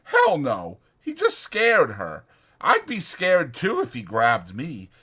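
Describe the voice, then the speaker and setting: A man voicing an exasperated but protective ranch hand in a dramatized audiobook, blunt and scolding, with a rough folksy drawl. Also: on the high side, huge pitch swings, slow, bright, forceful tone, pitched above the performer's own voice, heavily acted. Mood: agitated storytelling. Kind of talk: dramatized audiobook character acting.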